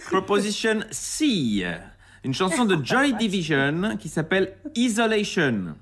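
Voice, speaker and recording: A comedian presenting a joke quiz on a radio show in a jokey, deadpan way. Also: medium pitch, measured pace, breathy edge. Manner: jokey, deadpan quiz delivery with bursts of laughter. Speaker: radio columnist. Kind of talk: comic radio bit.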